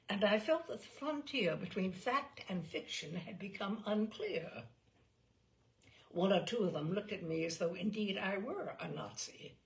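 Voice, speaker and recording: Animated woman storyteller with creaky voice. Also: medium pitch, rough recording. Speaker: storyteller, reader of literary selections. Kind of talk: dramatised literary narration.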